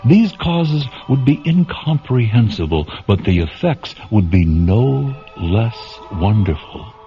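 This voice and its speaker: An actor playing the solemn storyteller of a science fiction radio drama, narrating in a measured, literary and dramatic manner. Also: male voice, medium pitch, big pitch swings. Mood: solemn, wondering. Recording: dull lo-fi radio recording, music bed.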